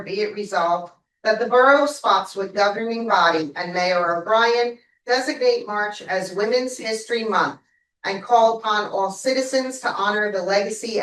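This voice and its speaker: A woman's voice: mid-range voice, a bit bright. A woman reads a formal proclamation aloud in a council chamber, now and then tripping over a word. She is heard from a distance in a roomy, echoing hall, her mic switched off. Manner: stumbles and apologizes mid-reading. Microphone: mic not switched on, picked up from a distance. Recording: echoey room from far off.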